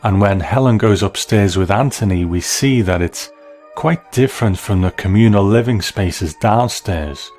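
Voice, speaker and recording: A man's voice: on the low side, measured pace, a little mellow, faint music behind. A man narrating a scripted video essay as a reviewer of film and television.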